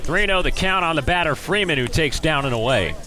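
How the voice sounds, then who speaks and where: A man calling baseball play-by-play as live radio commentary from an outdoor ballpark, rising excitedly on big plays. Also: fairly high pitch, a little fast.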